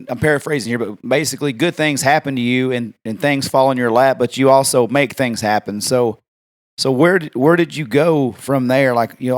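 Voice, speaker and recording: A man hosting a podcast, asking friendly, rambling questions in a casual interview. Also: medium pitch. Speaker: podcast host.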